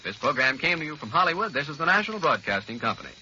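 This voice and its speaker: A man's voice: fairly high pitch, very animated, rapid-fire, pushed and bright, lo-fi sound. A man reading ad copy as a 1940s radio announcer. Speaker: commercial announcer. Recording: band-limited old radio with faint noise.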